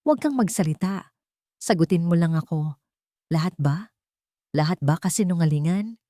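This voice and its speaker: Casting: female narrator as wounded young heroine of a romance audio story, bitter and accusing, low-pitched, very dry sound. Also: expressive, moderate pace, mellow, no room sound, natural voice. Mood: hurt, accusing. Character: heartbroken young woman, bitterly accusing her deceitful lover.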